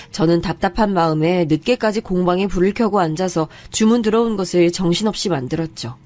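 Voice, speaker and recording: A woman's voice: medium pitch, a little mellow. Confiding woman narrating a story. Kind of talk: first-person story narration.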